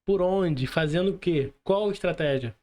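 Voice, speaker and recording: Casting: male Brazilian podcast host, notably high register, casual and emphatic street-level phrasing. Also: normal speed, a little mellow.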